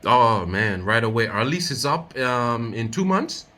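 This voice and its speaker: A man role-playing a friendly home buyer from Jamaica, speaking with a Jamaican accent in a relaxed, easygoing way. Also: mid-range voice, a little slow, voice pushed down.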